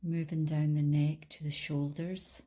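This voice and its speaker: Female meditation guide, lowish pitch and very slow delivery, calm and soothing, with generous pauses. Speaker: meditation guide, life coach. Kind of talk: guided body scan meditation, instructional.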